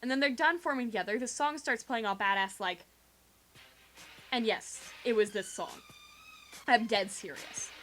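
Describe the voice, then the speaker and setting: Bright, high-voiced young woman storyteller, sarcastic. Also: normal speed, long pauses, a little hiss or hum. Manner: theatrical, sarcastic, slangy, acts out quoted dialogue. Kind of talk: dramatic reading of a comic dream account. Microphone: video game microphone with improvised pop filter. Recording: quiet homemade rig with game microphone.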